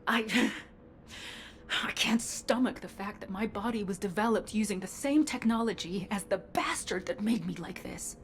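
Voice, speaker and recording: A woman's voice: medium pitch, a little slow, a little hiss or hum, close to an everyday voice. A woman playing a vengeful, impatient cyborg commander in a video game, blunt, defiant and heated. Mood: bitter, confrontational. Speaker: voice actor. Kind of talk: dramatic game dialogue.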